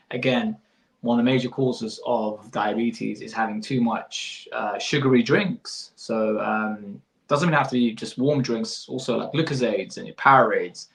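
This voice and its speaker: A man's voice: medium pitch, fairly even intonation, normal speed, vocal fry, a bit bright, roomy sound, low-quality recording. A man in his thirties, a fitness coach, answering interview questions informally and explaining as he goes, often rephrasing. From born in Iraq, moved abroad as a young child. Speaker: fitness coach and business owner.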